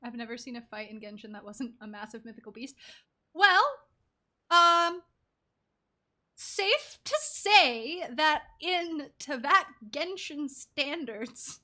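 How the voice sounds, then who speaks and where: A woman's voice: high-pitched, animated, slow, bright, forceful tone. A woman doing casual live game commentary as a streamer, playful and rambling. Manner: playful, rambling, makes silly sound effects. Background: game music.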